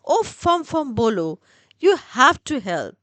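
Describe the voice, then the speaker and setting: Storybook young male dragon, played by a woman: earnest, determined, very animated pitch, close dry sound. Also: takes it slowly, no room sound, exaggerated voice. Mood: pleading.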